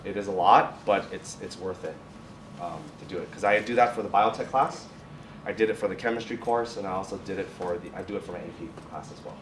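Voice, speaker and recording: A low-pitched man gives an informal teacher's talk. He halts and rephrases often. The sound is roomy and picked up from a distance, with faint noise behind him. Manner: halting, self-correcting, restarts phrases. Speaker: high school biotech and science teacher, guest speaker. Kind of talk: informal presentation with question and answer. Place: classroom or lab at a college. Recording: echoey room, distant mic, faint noise.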